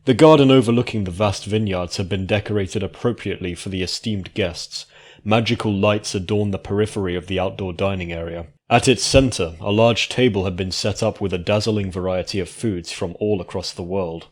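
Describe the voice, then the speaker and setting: A man's voice: sits low, fairly quick. A man reading scripted fan fiction as a narrator.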